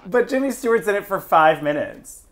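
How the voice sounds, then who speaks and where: A man in his thirties co-hosting a film review, wry and quick with interjections, at home. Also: high voice, very animated. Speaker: co-host of a film review show. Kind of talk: casual film review chat. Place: small home room with a bookshelf, indoors.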